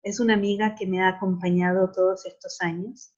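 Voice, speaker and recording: The audio is a little muffled and lo-fi. A middle-aged woman speaks at an average pitch in a reflective interview style. She is earnest, often restarting phrases as she looks for the exact word. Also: flat delivery, fairly quick. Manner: earnest, searching for words with repeated starts. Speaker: academic interviewee.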